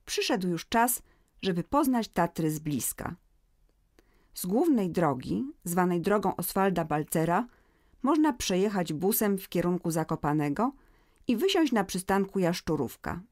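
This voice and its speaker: A woman narrating a scripted educational audio guide in a measured, informative manner. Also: on the low side, very animated, darkish tone, no room sound, clean studio sound.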